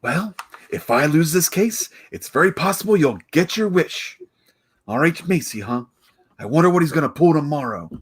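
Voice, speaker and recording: An actor playing a combative, exasperated prosecutor in a 1940s-style radio play, indignant and emphatic. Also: male voice, on the high side, lively intonation, normal speed, husky. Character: exasperated, combative male prosecutor, family man.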